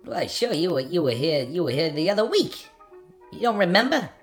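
A woman's voice: on the low side, big pitch swings, brisk, soft background music. A woman playing a brash, chatty bartender in a film noir audio play, with breezy, slangy patter.

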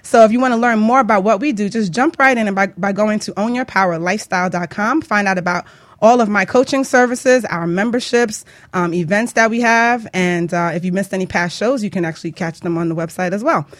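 A woman hosting a casual radio talk show, chatting in an animated, bantering way. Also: medium pitch, brisk, dry recording.

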